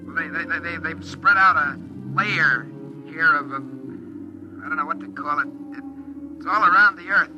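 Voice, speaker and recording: Actor as an urgent test pilot, vintage dull radio sound. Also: male voice, fairly high pitch, over music, close to an everyday voice. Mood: dazed, urgent. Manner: urgent, halting, insistent. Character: shaken, earnest rocket test pilot insisting on his story. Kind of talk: 1950s radio drama acting. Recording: dull, band-limited old radio recording.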